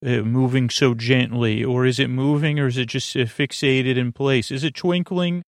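A man with a creaky voice hosting a sleep podcast in a soothing, meandering monologue full of halting starts and tangents. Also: medium pitch, fairly even intonation, dry recording, studio quality. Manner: halting, digressive, many false starts and filler words, soothing.

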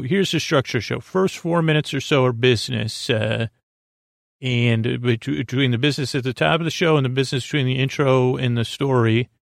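A man hosting a sleep podcast in a creaky voice, gently reassuring as he rambles, halts, repeats himself and trips over words in a soothing monologue. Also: mid-range voice, dry recording, clean studio sound. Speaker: podcast host.

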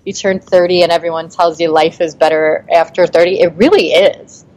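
A woman's voice: fairly low pitch, steady tone, brisk, darkish tone, rough recording. A woman in her forties, a podcast guest, speaking frankly and reflectively, hedging with "I mean" and "like". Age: 40s. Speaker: podcast guest, nonprofit founder. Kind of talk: casual interview chat.

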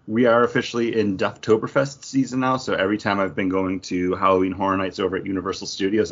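A man from Michigan with a somewhat low, husky voice co-hosts a casual podcast. He speaks in an informal, bantering way. The sound is a bit dull and lo-fi. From Michigan, United States. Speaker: podcast co-host.

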